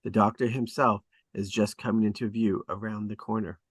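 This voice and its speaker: A man reading stage directions aloud at a script table read, in a deliberate, descriptive way. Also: on the low side, fairly even intonation, slightly rough, no echo.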